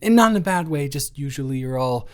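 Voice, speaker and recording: A young man playing a gentle, awkward roommate with a crush in an improvised roleplay audio, hesitant and reassuring as he talks. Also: a little high, lively intonation, quick pace, smooth, natural voice. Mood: concerned, awkward.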